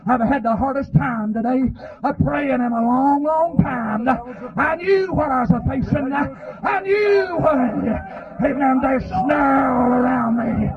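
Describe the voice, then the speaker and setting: A man preaching a fervent revival sermon in a raspy, strained voice, pleading and often shouting, punctuating his phrases with amens. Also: extremely high pitch, unhurried, some chatter behind. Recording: dull, lo-fi sermon recording.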